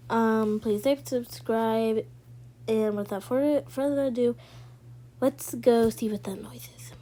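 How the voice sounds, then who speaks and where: Female video creator, relaxed dark-toned delivery, very unhurried, casual narration of a role-play. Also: fairly high pitch. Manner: informal, talking through each small step.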